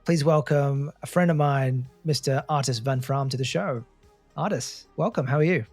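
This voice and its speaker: A man's voice: medium pitch, normal speed, darkish tone, dry recording. A man speaking as a podcast host, informal and matey, in a mid-range voice. The sound is dry, with very little room in it, and a little music can be present. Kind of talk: upbeat intro and casual interview.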